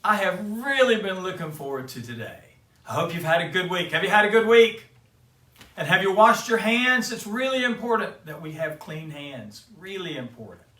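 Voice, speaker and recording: A man's voice: sits high, big pitch swings, normal speed, sharp tone, recorded from a distance. A man hosting a children's show, talking cheerfully straight to kids and then reading a picture book aloud in a sing-song way. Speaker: children's storyteller and host. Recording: echoey room, away from the mic.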